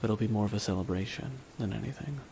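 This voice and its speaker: A man playing a bitter, weary supervillain in a dramatic ASMR audio roleplay, speaking deliberately with long pauses to a dying nemesis. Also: lowish voice, lightly acted. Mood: scornful. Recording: steady rain noise behind the voice. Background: rain falling on surfaces.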